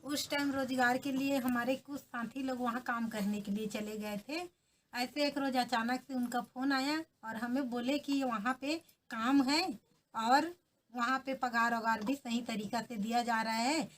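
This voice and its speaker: A woman's voice: high voice, normal speed, a little hiss or hum. A woman being interviewed who tells plainly and earnestly what happened to her as a migrant worker. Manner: plain, earnest storytelling with short restarts. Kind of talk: recounting personal experience in an interview.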